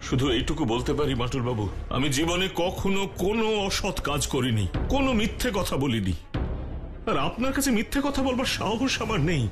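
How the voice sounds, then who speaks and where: A man's voice: on the high side, sing-song, normal speed, music in the background. A man voicing an anxious, deferential bank manager in a children's cartoon, imploring and repeating his phrases. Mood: nervous, deferential. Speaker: voice actor in a cartoon. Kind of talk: dubbed children's cartoon dialogue.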